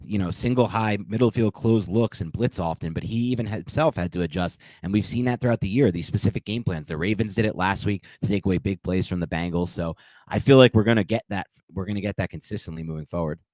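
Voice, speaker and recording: A man's voice: low-pitched, fast talker, a little mellow, no echo. A man co-hosting a podcast, chatting casually and enthusiastically, laughing often. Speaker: podcast co-host.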